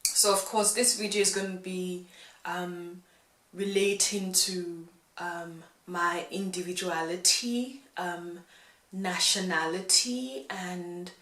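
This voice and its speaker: A young woman of British and Nigerian background talking straight to camera in a casual vlog, chatty and animated, laughing now and then. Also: fairly low pitch, slow, echoey room. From England and Nigeria. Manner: chatty, animated with hand gestures, laughs often. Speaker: vlogger. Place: indoors against a plain grey wall. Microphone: camera in front, head-and-shoulders framing.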